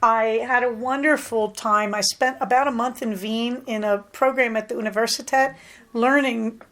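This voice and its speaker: A woman's voice: on the high side, steady tone, moderate pace, a bit bright. A middle-aged American woman hosting a podcast, talking in a chatty, rambling way with plenty of asides. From United States. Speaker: podcast host. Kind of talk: casual solo podcast chat.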